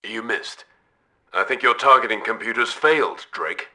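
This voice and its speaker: Sharp-edged, cool commander spy. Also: male voice, lowish voice, normal speed, recorded from a distance. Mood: cool, firm. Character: cool, authoritative male naval commander spy. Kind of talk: scripted radio exchange in a video game.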